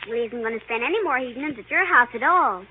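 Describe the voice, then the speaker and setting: A woman's voice: a little high, expressive, quick pace, natural voice. An actress playing a blunt, nosy little girl who loves to tattle, in a 1930s radio comedy. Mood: secretive, smug. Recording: tinny, noisy 1930s radio broadcast.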